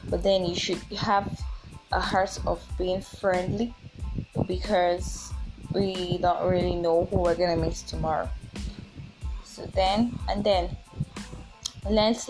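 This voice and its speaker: A woman in her twenties with a Nigerian accent, talking informally as a solo podcast host in a chatty, halting, repetitive way. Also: lowish voice, poor audio. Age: 20s.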